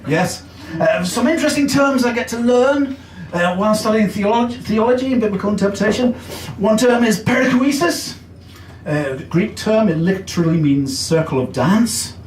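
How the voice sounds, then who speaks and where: A man's voice: sits high, animated, normal speed, far from the mic, a little hiss or hum. English man doing stand-up in an echoey basement. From England. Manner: chatty and self-deprecating, with frequent uh fillers. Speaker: stand-up comedian. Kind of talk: stand-up comedy set. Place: basement comedy club.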